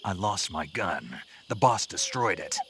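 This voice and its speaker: An actor playing a gruff male special forces agent, talking in low, terse lines. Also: a little slow. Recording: game cutscene with steady ambience noise.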